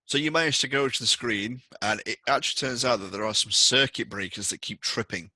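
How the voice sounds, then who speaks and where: A man acts as game master at a tabletop session, improvising the narration as he goes. His voice is very bright and forceful, recorded dry and close with a medium pitch. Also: brisk, no echo, rough recording.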